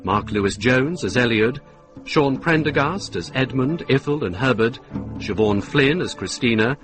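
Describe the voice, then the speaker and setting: A man's voice: mid-range voice, expressive, moderate pace. A man reading closing credits as a scripted radio announcement. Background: music.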